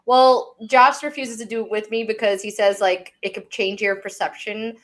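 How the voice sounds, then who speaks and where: A woman's voice: medium pitch, moderate pace. A woman in her thirties with a Long Island accent, co-hosting a live podcast and telling stories in casual chat, acting out the quotes. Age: 30s. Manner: animated storyteller, acts out quotes. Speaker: podcast co-host.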